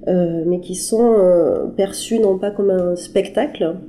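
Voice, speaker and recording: A woman artist answering questions in a halting, conversational way, with many fillers and restarts. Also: on the low side, warm tone, slight background noise. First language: French. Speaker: interviewed artist. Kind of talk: hesitant conversational interview. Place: her apartment.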